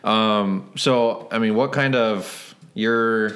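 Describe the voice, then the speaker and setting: Low-voiced male podcast host. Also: slow pace, a bit bright. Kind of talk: casual interview questions.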